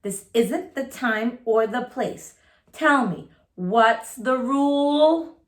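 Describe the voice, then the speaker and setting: A woman voicing a kindly but firm female sea-creature teacher in a storybook, measured and gently instructive. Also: on the high side, animated, echoey room.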